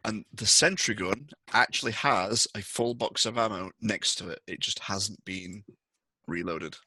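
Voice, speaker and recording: A man's voice: lowish voice, a little slow, pushed and bright, slightly rough, dry recording, poor audio. A man narrating a tabletop roleplaying game as game master, improvising in a conversational way.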